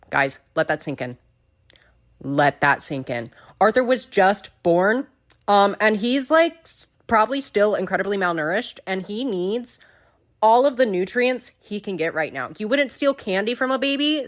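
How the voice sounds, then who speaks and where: A woman's voice: mid-range voice, a bit bright. A woman delivering a mock-serious comic rant as a listener submission, emphatic and pleading.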